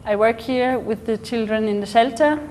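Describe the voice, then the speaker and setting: A woman's voice: a little high, steady tone, normal speed, darkish tone. Young Austrian woman volunteer, echoey room. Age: young adult. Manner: short simple sentences. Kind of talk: on-camera interview. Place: tiled indoor room. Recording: hall reverb, faint noise.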